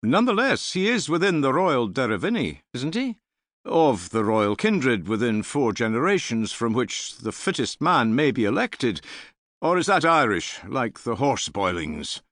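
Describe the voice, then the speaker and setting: A man's voice: medium pitch, sing-song, a little slow, a bit bright, no room sound, studio quality, pitched above the performer's own voice. A man voicing a pointed, questioning counsellor in an audiobook. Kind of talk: audiobook character dialogue.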